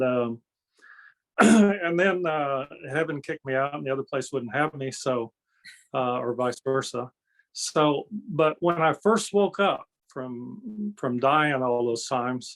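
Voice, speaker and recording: A man's voice: mid-range voice, vocal fry, rough recording. An older man speaking as an interview guest, explaining and telling anecdotes in a folksy, conversational way with frequent check-in tags. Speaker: interview guest, energy healer and kung fu master.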